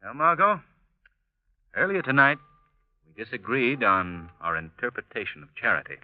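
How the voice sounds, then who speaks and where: An actor playing a suave, kindly, commanding gentleman sleuth with a polished American delivery in a 1940s radio drama. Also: mid-range voice, very animated, moderate pace, close to an everyday voice. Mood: earnest, reflective. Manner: polished, authoritative, earnest. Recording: dull vintage radio recording.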